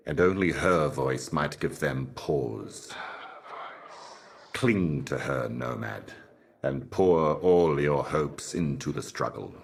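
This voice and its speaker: An actor playing a grave, cryptic otherworldly envoy in a video game, speaking in a formal, oracular manner. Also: male voice, very deep voice, vocal fry, slight background noise, full character voice. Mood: stern urging. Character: solemn, cryptic otherworldly male envoy of a cosmic mistress. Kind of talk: video game character voice lines.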